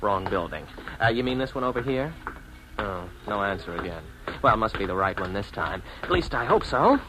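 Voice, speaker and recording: A man's voice: on the low side, lively intonation, brisk, natural voice, low-quality recording. An actor with an American accent playing a lonely city man in a 1950s radio drama, narrating his own awkward romance. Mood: puzzled, awkward. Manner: hesitant and awkward in dialogue. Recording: vintage 1950s radio broadcast, music behind.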